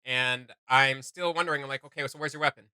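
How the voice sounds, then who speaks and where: A man hosting a casual reaction video, giving gushing, slangy commentary in a halting flow. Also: medium pitch, steady tone, pushed and bright, clean tone, no room sound.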